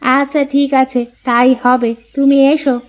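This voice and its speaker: A woman voicing an elderly village wife in a cartoon, fond and coaxing, with some gentle nagging. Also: sits high, flat delivery, measured pace, dull audio. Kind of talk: Bengali cartoon voice acting.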